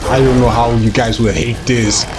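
A young man with a cold, hosting a gaming channel and talking over his gameplay in a chatty, joking way, with a hoarse voice. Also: medium pitch, fairly even intonation, normal speed, over loud music. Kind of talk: casual gameplay commentary.

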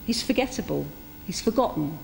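A middle-aged woman giving an informal, reflective sermon in a conversational storytelling style, with pauses for emphasis. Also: lowish voice, animated, vocal fry, darkish tone. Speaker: preacher. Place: church interior, speaking to a congregation. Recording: echoey church hall with background noise.

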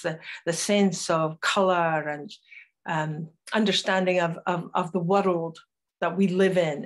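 An older woman with a soft Northeast Scottish lilt talks to a webcam from a room at home. Her voice sits fairly low, and she speaks in a reflective storytelling way, with unhurried pauses. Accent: Northeast Scottish, Doric. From northeast Scotland. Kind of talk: personal talk to camera. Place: home room with curtains, indoors.

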